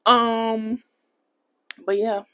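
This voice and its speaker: An American woman chatting casually in a budgeting vlog, animated and playful, laughing often and dropping into a Jamaican accent for bits. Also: a little high, very slow. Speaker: budgeting vlogger.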